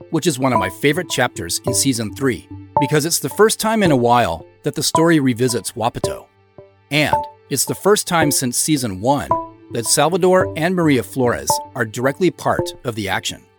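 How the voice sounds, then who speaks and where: A man hosting a director's commentary, explaining his work as an audio drama creator. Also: mid-range voice.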